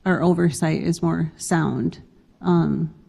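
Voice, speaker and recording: A woman's voice: low-pitched, fairly even intonation, takes it slowly, gravelly, warm tone, husky. Woman speaking on a conference panel. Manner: conversational, hesitant with fillers. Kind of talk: panel discussion. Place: conference hall. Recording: reverberant conference hall.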